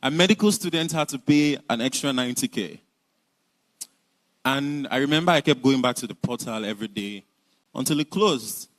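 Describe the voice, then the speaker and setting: A man's voice: mid-range voice, expressive, moderate pace, fairly bright tone, rough recording. Earnest Nigerian man telling his story. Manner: earnest, reflective, with light laughs. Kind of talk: personal storytelling talk.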